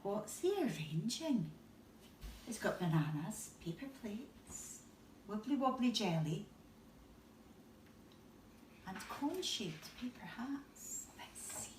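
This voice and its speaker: Slow, lively woman reading a bedtime story. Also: mid-range voice, background noise. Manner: expressive, with questions and asides to young listeners. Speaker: teacher reading aloud. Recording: roomy echo, distant from the mic.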